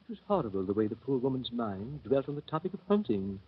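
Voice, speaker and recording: A man's voice: medium pitch, very animated, quick pace, husky. An actor playing a nervous young male visitor who also narrates, in 1930s radio acting style. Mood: tense narration. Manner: stammering, flustered. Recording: muffled old radio recording.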